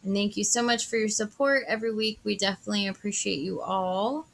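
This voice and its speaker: A woman's voice: medium pitch, fairly even intonation, brisk, gravelly, a little hiss or hum. A woman chatting casually in a friendly, agreeable way as co-host of a talk show. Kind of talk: casual chat.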